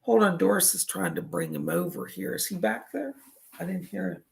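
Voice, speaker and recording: Gravelly, raspy man giving psychic readings. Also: sits high, huge pitch swings, moderate pace. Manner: soothing, reassuring, chatty. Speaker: psychic medium. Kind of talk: live on-air psychic readings.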